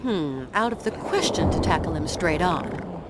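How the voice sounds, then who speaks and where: A woman's voice: on the low side, lively intonation, measured pace. A woman playing a determined, resourceful adventurer in a video game, thinking problems through aloud. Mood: musing. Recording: loud noise over the voice.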